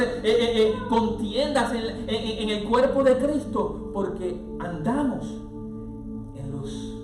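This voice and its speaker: A pastor preaching a sermon, swelling from quiet passages into loud, sung-out lines, with music playing underneath. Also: male voice, high voice, roomy sound, distant mic.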